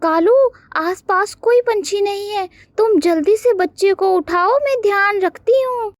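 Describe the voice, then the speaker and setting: A woman voicing an eager, fretful female crow, an accomplice wife in an animated bird story. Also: very high-pitched, measured pace, no room sound, studio quality, pitched above the performer's own voice. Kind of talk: animated moral story dialogue.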